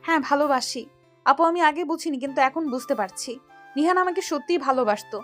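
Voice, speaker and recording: A woman playing a high-voiced remorseful younger sister. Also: fairly quick, faint music behind. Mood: hurt, earnest. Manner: pleading, soft and earnest. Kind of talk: audio love-story acting.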